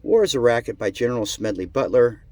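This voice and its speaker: A man reading an article aloud in a sarcastic, theatrical manner, with drawn-out emphasis and muttered asides. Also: mid-range voice, expressive, darkish tone, no room sound. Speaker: amateur reader of an article.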